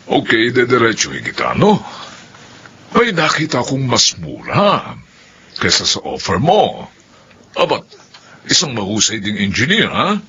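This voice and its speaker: An actor playing a blunt, wealthy businessman who talks deliberately and condescendingly in a radio drama. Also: mid-range voice, huge pitch swings, full character voice. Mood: blunt, businesslike. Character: Don Romano, blunt, wealthy businessman client. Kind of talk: Filipino radio drama acting. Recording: bright but lo-fi, faint noise.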